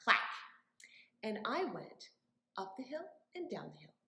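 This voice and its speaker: A woman's voice: fairly high pitch, big pitch swings, unhurried, pushed and bright. A woman hosting a children's storytime as a librarian, leading rhymes and stories in an encouraging, sing-song way and speaking directly to the children. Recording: roomy, echoing indoor sound.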